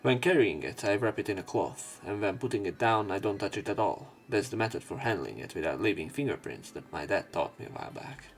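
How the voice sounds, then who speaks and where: A man reads a visual novel aloud as a let's player, haltingly, slipping in his own casual commentary. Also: lowish voice, animated. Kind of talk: narration and commentary over a game, reading aloud with asides. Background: visual novel game music.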